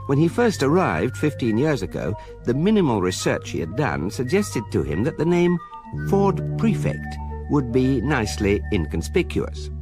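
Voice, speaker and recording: An actor playing a wry, unflappable guidebook narrator in a British accent, measured and precise, in a comic radio drama. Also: male voice, mid-range voice, huge pitch swings. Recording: radio production with music behind.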